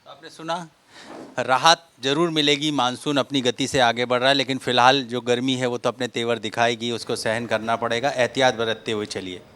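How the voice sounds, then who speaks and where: A man's voice: medium pitch, flat delivery, moderate pace, sharp tone. A man reporting and interviewing for television news in a conversational way.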